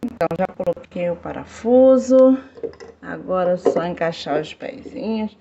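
A woman's voice: lowish voice, animated, normal speed, vocal fry, warm tone, muffled recording. A woman in her fifties chatting casually to her viewers from a small tiled home kitchen. She talks into a phone held close and keeps saying "gente" as she works. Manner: chatty, repeats "gente" often, pleading for likes. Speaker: home DIY vlogger. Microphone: phone camera held close.

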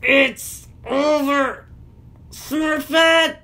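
Woman doing a straining, high-pitched brave little male hero. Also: steady tone, gravelly, sharp tone, full character voice. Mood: desperate. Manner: effortful, halting. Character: small brave male creature, struggling, loyal hero.

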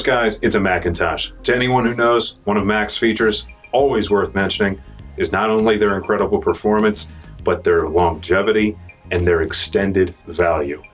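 Low, slightly husky young American man. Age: young adult. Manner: salesy, informal, addressing viewers as guys. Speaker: store product presenter. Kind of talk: product review presentation. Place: indoor showroom studio, dark backdrop.

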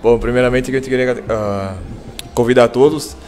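A man, the team captain, inviting fans in a measured, slightly hesitant way at a table mic. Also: medium pitch, steady tone, a little mellow, a little hiss or hum.

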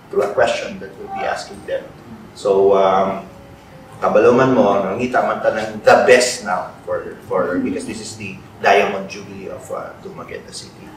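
Middle aged filipino guy hosting a talk show, lowish voice, lots of ums, super echoey room. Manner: halting, conversational with many fillers. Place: indoor room with bare walls. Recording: roomy echo, distant mic, faint noise.

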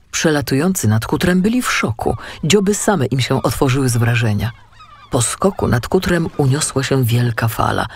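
A man narrating a children's audiobook in an expressive, dramatic storytelling manner. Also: medium pitch, slightly rough.

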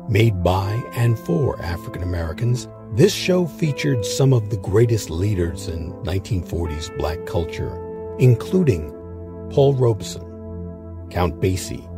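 A man hosting a history series, reading a scripted documentary narration. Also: mid-range voice.

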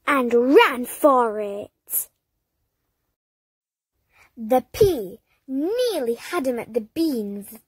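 Lady reading a kids storybook real slow, breathy, big sing-song swoops. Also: no room sound. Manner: slow and expressive, jumps up high for dramatic cries. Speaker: storybook reader. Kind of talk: children's read-aloud narration.

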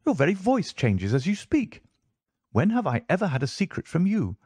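A man's voice: high voice, wildly swooping pitch, normal speed, darkish tone, husky, dry recording, clean studio sound, pitched above the performer's own voice, full character voice. A man voicing a troubled, suspicious husband in an audiobook, insistent, stern and agitated. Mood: accusing. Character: troubled, suspicious husband confronting his wife. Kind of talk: audiobook character dialogue.

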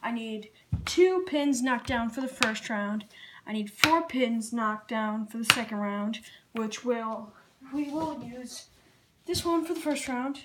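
A woman's voice: on the high side, slow. A woman chatting casually as a vlog host, with slangy, muttered asides. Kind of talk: casual challenge vlog chat. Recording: very noisy home video sound.

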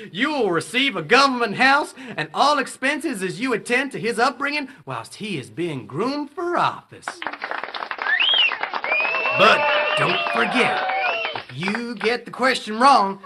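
Slick game show host, theatrical announcer patter, very bright, swooping pitch, audio drama. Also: male voice, exaggerated voice. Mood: grave, taunting. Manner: theatrical, gushing announcer delivery. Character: slick, showy male game show host of a dystopian future.

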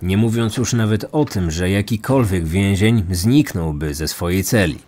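A man's voice: low-pitched, moderate pace, a little mellow. A man narrating a scripted true crime story as a podcast host. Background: background music.

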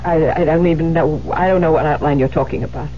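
An actress playing a distraught, guilt-haunted widowed writer, stammering and pleading in 1970s radio drama style. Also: female voice, quick pace, lightly acted. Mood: defensive, rattled. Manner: stammering, pleading, highly emotional. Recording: muffled, noisy vintage radio broadcast.

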